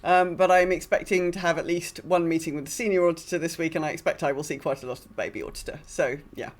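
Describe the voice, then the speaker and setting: A woman's voice: low voice, fairly even intonation, fast talker. A woman chatting casually as a podcast co-host. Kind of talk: casual chat.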